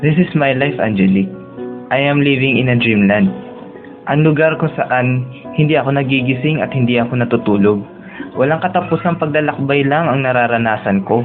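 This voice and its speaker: A man's voice: medium pitch, fairly quick, lightly acted. A young man with a Filipino accent playing a laid-back, teasing dream traveler in a casual radio drama dialogue. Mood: earnest. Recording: tinny narrow-band audio with music behind.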